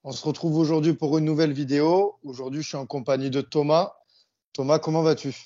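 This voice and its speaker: A man's voice: on the high side, flat delivery, fairly quick, mellow. A man hosting a podcast, asking plain questions with small hesitations. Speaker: podcast host. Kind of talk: interview questions. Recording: dull lo-fi audio.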